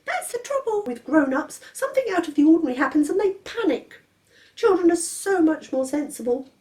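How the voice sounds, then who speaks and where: Woman reading a very high, cocky baby boy with a lively sing-song, storybook. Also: brisk, echoey room. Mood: knowing. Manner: calm, knowing, grown-up phrasing. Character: precocious wise-cracking six-week-old baby boy.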